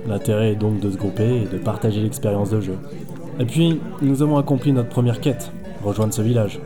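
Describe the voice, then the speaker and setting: A man's voice: on the low side, steady tone, fairly quick, over music, natural voice. A man playing a disillusioned but principled insurance adviser and gamer, world-weary and plain-spoken, in an audio drama. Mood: friendly, explaining. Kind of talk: scripted audio-drama acting and narration.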